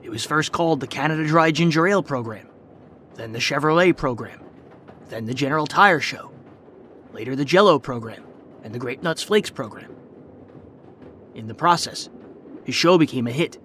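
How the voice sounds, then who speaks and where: A man delivering scripted documentary narration with measured, formal phrasing. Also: on the high side, lively intonation, fairly bright tone, a little hiss or hum. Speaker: podcast narrator.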